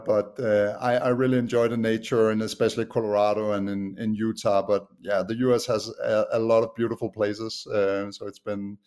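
A middle-aged man from Denmark with a Danish accent, relaxed and chatty as a podcast guest. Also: lowish voice, smooth. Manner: relaxed, frequent restarts and fillers. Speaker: podcast guest, SaaS co-founder. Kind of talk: casual interview chat.

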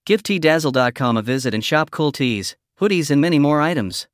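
Guy announcer reading a scripted ad, slightly high voice, very dry sound. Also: lively intonation, fairly quick, no room sound. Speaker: sponsor announcer. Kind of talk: scripted ad read.

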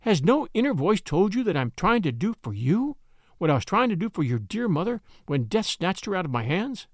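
A man's voice: high-pitched, very animated, brisk, no room sound, studio quality, full character voice. A man reading aloud voices a fervent, pious spinster who pleads in a rising voice.

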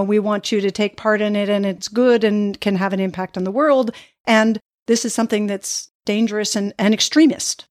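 A woman hosting a conversational interview, working her way tentatively through long, meandering questions. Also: fairly high pitch. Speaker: interviewer and host.

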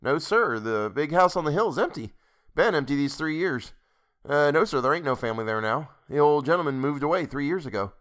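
A man's voice: fairly high pitch, lively intonation, a little fast, fairly bright tone, voice pushed up. A man voicing an old country innkeeper with folksy, rustic speech in a literary audiobook. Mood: matter-of-fact. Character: old country innkeeper, plain-spoken, kindly, puzzled. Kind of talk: literary audiobook character dialogue.

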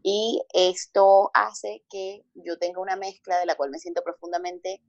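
A woman from Colombia with a Caribbean coast accent, a holistic psychologist telling her story in a relaxed interview, expressive and repeating words for emphasis. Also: fairly low pitch, a little slow, no room sound, highs cut off.

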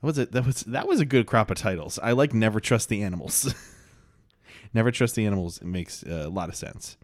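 A man's voice: fairly low pitch, fairly quick, no room sound, studio quality. A man hosting a podcast in casual chat, laid-back and rambling, with lots of fillers. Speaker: podcast host.

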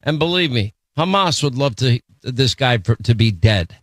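A man's voice: mid-range voice, animated, normal speed, no room sound. A man hosting a talk radio show, commenting in an indignant, emphatic way into a studio mic. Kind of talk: opinion commentary.

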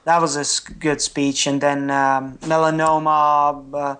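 A young man with an Estonian accent, a non-native English speaker, giving candid, good-humoured interview answers as a triathlete and pausing to search for words. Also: fairly high pitch, level tone, a bit bright, smooth. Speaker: triathlete guest.

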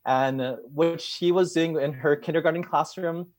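A man who grew up in Hawaii, a teacher and guest, answering interview questions in a measured, friendly way. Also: on the high side, fairly even intonation.

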